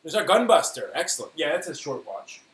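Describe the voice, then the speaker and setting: A man's voice: fairly high pitch, very animated, fairly quick. A man hosting a casual live stream, chatting in an offhand way as he thinks aloud. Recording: roomy echo, faint hiss.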